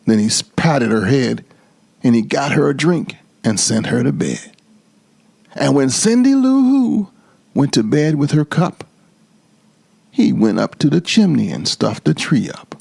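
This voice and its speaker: A man reading a storybook aloud as storyteller, in an expressive, theatrical and deliberate style. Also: mid-range voice, creaky, breathy edge, no room sound.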